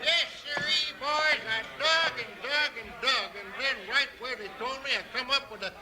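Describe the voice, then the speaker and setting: An actor playing an excitable old gold prospector in a 1950s radio Western, with a folksy, exclamatory American Western drawl. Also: male voice, extremely high pitch, moderate pace. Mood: boastful, elated. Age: older. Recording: noisy 1950s radio recording with clicks.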